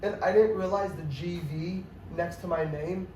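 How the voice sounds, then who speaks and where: A young man from the New York City area, a guest comedian, telling a story in casual chat, rambling and excitable with lots of restarts. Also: high voice, moderate pace. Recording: echoey room, mic at a distance, faint noise.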